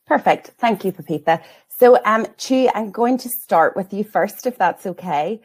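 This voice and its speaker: A woman hosting a careers webinar panel, prompting each panelist politely and briskly. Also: mid-range voice, animated, husky. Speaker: host, careers adviser.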